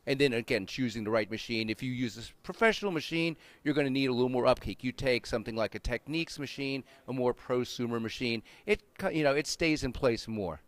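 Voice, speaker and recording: A man's voice: mid-range voice, a little fast, hardly any pauses, dry recording. A man with an American accent, giving informal, explanatory answers as an expert guest in an interview. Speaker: interview guest, audio magazine writer and panel leader.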